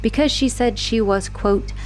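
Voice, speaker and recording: A woman playing an obsessive, manipulative young woman who escaped an asylum, in a teasing, expressive roleplay monologue spoken straight to the listener. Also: on the high side, measured pace, soft background music, lightly acted, poor audio. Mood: wry, confiding. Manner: teasing, possessive, expressive, swinging between sweet and exasperated. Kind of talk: dramatic roleplay audio monologue addressed to the listener.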